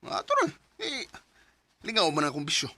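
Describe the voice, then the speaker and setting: Lazy young cebuano husband in radio drama, lively forceful voice, dry sound. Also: male voice, a little high, moderate pace, no room sound, heavily acted. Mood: defiant. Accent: Cebuano Filipino. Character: idle, careless young husband with a vice.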